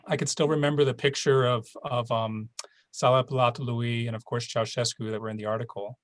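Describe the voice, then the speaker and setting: Fast-talking man, dry close sound. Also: mid-range voice, little pitch movement, dry recording. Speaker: translator, guest. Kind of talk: casual storytelling in conversation.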